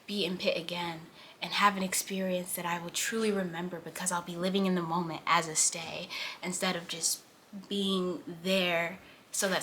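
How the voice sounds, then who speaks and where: An eighteen-year-old woman chatting casually as a vlogger, full of "like" fillers and drifting between lively and hushed. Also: fairly low pitch, a little hiss or hum. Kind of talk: casual chat.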